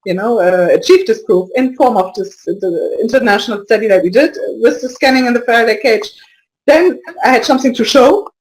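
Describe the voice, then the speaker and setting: A woman speaking as an interview guest in casual talk, halting, with many restarts and soft laughs. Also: a little high, rough recording. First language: not French. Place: lives in Brussels area.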